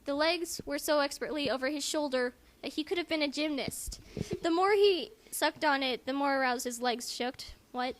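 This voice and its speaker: A woman's voice: high-pitched, moderate pace, few pauses. A squeamish woman reading aloud casually for a comic dramatic reading, breaking off to comment and sounding grossed out. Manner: squeamish, self-interrupting, grossed out. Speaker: amateur reader in a comedic dramatic reading.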